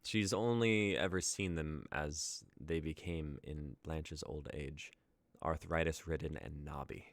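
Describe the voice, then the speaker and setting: A man's voice: rumbling low, fairly even intonation, unhurried, no echo. A young man reading scripted story narration and stage directions as the narrator of a dramatic reading. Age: young adult.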